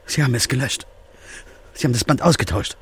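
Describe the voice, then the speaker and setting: A man's voice: medium pitch, lively intonation, quick pace, heavy background noise, natural voice. An actor playing a hunted male journalist in a radio play, narrating in first person and arguing with tense, paranoid insistence. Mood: desperate.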